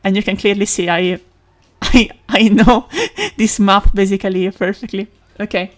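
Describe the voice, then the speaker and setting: A woman's voice: mid-range voice, steady tone. Woman chatting on a game stream. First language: Italian. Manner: rambling, with many fillers, restarts and quick laughs. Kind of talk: casual live-stream chat while gaming.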